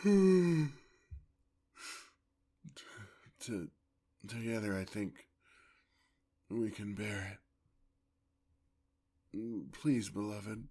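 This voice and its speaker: A man playing a weary, tender, courtly male vampire in an ASMR roleplay, with a husky, gravelly voice and formal, old-fashioned speech. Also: fairly low pitch, warm tone, exaggerated voice. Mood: weary, yearning.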